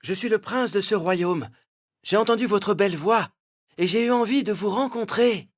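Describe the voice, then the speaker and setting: A woman's voice: medium pitch, moderate pace, close to an everyday voice. A woman playing a gallant, earnest young prince in a children's cartoon fairy tale. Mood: earnest, pleading. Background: faint music.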